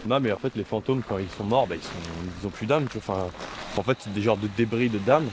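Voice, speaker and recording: Young guy, low voice, rattles off deadpan mumbly stuff, traffic noise outside. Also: quick pace. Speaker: self-styled ghost hunter in a sketch. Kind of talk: deadpan improvised comedy chat.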